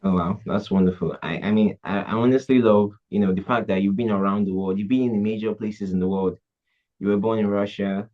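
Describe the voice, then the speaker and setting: A man's voice: lowish voice, fairly even intonation, brisk. A man hosting a podcast interview, hesitant and often restarting his questions. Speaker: podcast host. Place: Africa. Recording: dull lo-fi sound.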